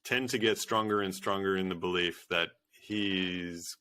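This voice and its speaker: A man answering an interview question in a candid, hesitant way, with halting phrasing. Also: sits low, steady tone, pushed and bright. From Australia.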